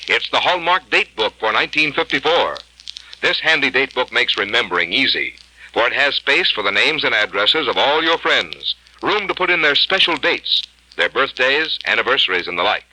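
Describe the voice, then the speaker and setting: An American man working as a radio announcer, reading scripted sponsor messages in 1950s style with a husky voice. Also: medium pitch, bright, forceful tone, no room sound, low-quality recording. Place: radio studio. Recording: old 1950s radio broadcast, music behind.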